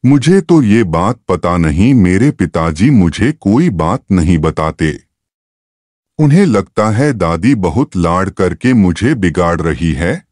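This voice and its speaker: Guy playing a lazy naive farmer's son in a village story, kinda plaintive, low voice, talks slow. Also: a little mellow, no room sound, studio quality, heavily acted. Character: lazy, self-pitying 25-year-old farmer's son.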